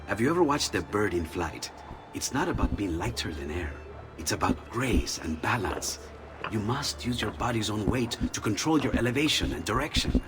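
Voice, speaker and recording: An actor voicing an excitable male inventor in a video game, musing one moment and overjoyed the next. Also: on the low side, normal speed, noisy background. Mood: instructive. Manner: eager, swings from musing to shouting joy. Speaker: game character.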